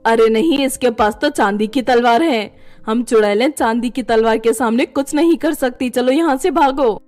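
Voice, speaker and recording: A woman's voice: on the high side, normal speed, darkish tone, faint music behind, natural voice. A woman voicing an evil, gloating witch in a horror storybook tale. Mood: alarmed. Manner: gloating, menacing. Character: evil heart-eating witch, gloating and menacing.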